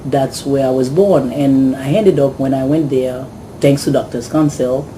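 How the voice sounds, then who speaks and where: A man's voice: medium pitch, measured pace, rough recording. A man from Haiti, a volunteer doctor, telling his story eagerly with frequent restarts. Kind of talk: recounting experience to camera. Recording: steady air-conditioning hiss.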